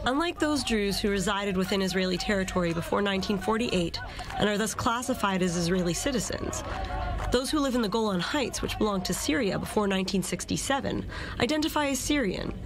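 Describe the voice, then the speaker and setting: A woman news reporter delivering a measured field report, speaking outdoors near a village border fence. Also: medium pitch, animated.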